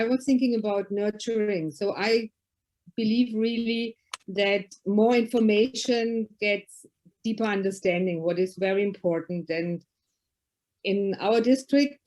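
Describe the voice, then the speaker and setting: Slow-speaking German woman on a call. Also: mid-range voice, darkish tone, rough recording. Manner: careful, slightly non-native phrasing. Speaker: Lions district past governor. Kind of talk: video call discussion. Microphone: video call.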